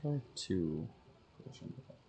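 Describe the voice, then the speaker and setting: A man's voice: deep voice, very animated, normal speed, vocal fry, warm tone, slight background noise. A man livestreaming a game, making casual offhand remarks. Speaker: game streamer.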